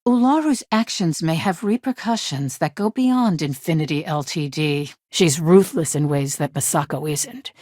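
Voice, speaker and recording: Woman playing stern corporate lawyer, lowish dry-recorded voice. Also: no echo, natural voice. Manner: crisp, formal, businesslike, curt. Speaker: video game character voice. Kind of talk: scripted game dialogue.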